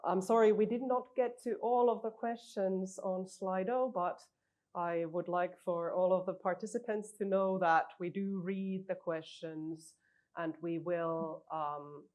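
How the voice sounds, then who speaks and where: A woman's voice: on the low side, fairly even intonation, a little slow, darkish tone, low-quality recording. A woman chairing a seminar, reading out questions and moderating the Q&A politely. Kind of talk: moderating a Q&A session.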